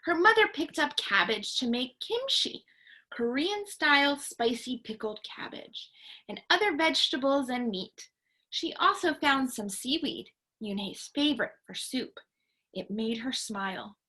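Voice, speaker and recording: Bright, high-pitched woman reading to children. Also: poor audio. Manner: expressive, pauses to explain to young listeners. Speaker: teacher reading aloud to children. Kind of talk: animated picture-book read-aloud with teaching asides.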